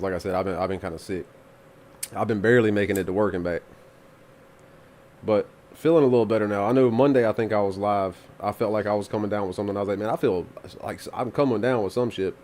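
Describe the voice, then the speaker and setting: A man's voice: fairly low pitch, a little mellow, slight background noise. A man hosting a live music review stream, congested from the flu, talking in a rambling, laid-back way with casual swearing. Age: adult with children.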